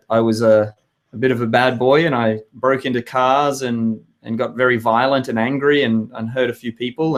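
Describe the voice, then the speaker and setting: An Australian man in his thirties with a hoarse voice, hosting a live web show and chatting with viewers in an upbeat, rambling way. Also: mid-range voice. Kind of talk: live webinar chat to viewers.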